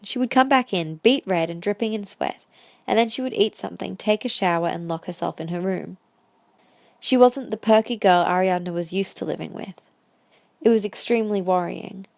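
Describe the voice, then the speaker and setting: A woman narrates a scripted audio drama in story prose. Her voice has a medium pitch and the sound is close and very dry. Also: normal speed, gravelly, a little mellow, no room sound.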